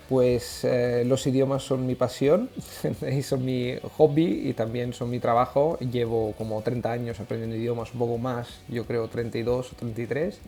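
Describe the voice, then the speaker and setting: A man's voice: mid-range voice, dark tone. A middle-aged man with an Italian accent, speaking Spanish as a guest in a casual interview, hesitant and full of fillers. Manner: hesitant, many fillers and restarts. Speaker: guest, language school founder.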